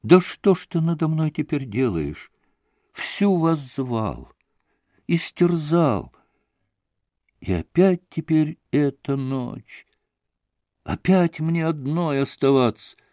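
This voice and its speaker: A man's voice: on the high side, big pitch swings, takes it slowly, long pauses, mellow, no room sound, studio quality, voice pushed up. A man voicing a frantic tearful young woman. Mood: wounded. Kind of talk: dramatic audiobook reading.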